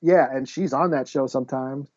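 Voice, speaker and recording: A man's voice: mid-range voice, moderate pace, a little mellow, dull audio. A man co-hosting a casual podcast, chatting in a laid-back way and often chipping in briefly. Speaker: podcast co-host. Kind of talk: casual chat.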